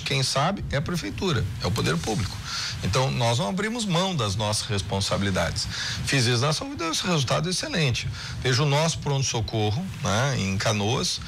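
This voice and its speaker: A man, a former mayor, explaining his health policy in a lively interview, often adding "né". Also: medium pitch, gravelly, a little hiss or hum. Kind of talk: political interview.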